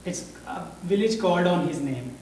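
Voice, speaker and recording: A man with a Pakistani accent, a Punjabi speaker talking in English, addressing a church congregation haltingly and searching for his words. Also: high voice, expressive, darkish tone, faint music behind. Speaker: visiting missionary speaker. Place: church hall. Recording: roomy hall audio from a distance.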